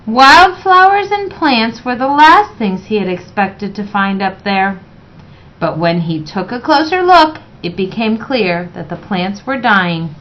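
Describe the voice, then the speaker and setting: A woman reading a children's picture book aloud in a measured, expressive way. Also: mid-range voice. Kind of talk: read-aloud children's story narration. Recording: dull, lo-fi sound.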